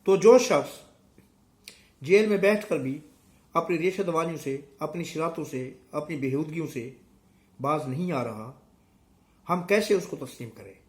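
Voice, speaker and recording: Casting: middle-aged man, Pakistani accent, fairly high voice strained by a sore throat, emphatic political vlogger addressing viewers off the cuff. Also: unhurried, long pauses. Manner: emphatic, rhetorical questions and repetition.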